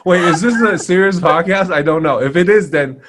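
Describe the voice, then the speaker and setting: A man's voice: high voice, normal speed, noisy background. A man who does stand-up comedy, a podcast guest trading sarcastic, self-deprecating jokes. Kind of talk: joking banter.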